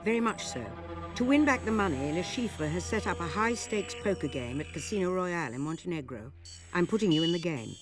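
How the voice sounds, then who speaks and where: A woman's voice: on the low side, expressive, brisk, lightly acted. A woman playing an authoritative, clipped female intelligence chief in a video game drama. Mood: businesslike briefing. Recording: music under the voice.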